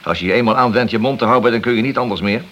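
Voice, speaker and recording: A man playing an ambitious, impatient and cynical police detective in a radio play, gruff and argumentative. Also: mid-range voice, racing, fairly bright tone, lo-fi sound, natural voice. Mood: defensive. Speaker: radio drama actor. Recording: hissy, noisy old radio recording.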